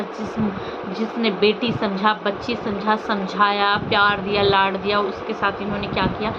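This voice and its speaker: Indignant woman vlogger over loud background noise. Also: medium pitch. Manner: indignant, reproachful, rhetorical questions. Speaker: social media vlogger commenting on online drama. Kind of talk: unscripted opinion commentary on a personal scandal. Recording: muffled, lo-fi, loud vehicle and ambient noise.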